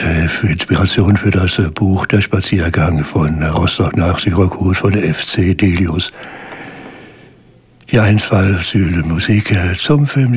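A man's voice: low-pitched, breathy edge, no echo. A man presenting on the radio, casual and hesitant with frequent fillers. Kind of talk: radio announcement.

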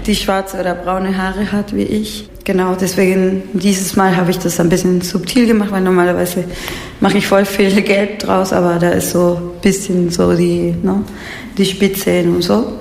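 A woman's voice: fairly low pitch, level tone, normal speed, vocal fry, a little mellow, a little hiss or hum. A woman from Peru with a Peruvian Spanish accent, explaining her art informally in an interview, searching for words in non-native phrasing. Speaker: painter being interviewed.

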